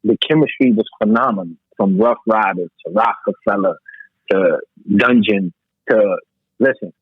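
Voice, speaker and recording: A man's voice: fairly low pitch, moderate pace, breathy edge, no room sound. An American man in a casual podcast debate about music, speaking emphatically and repeating himself for stress. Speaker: podcast host discussing music. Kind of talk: casual hip hop debate. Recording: muffled narrow radio-like sound.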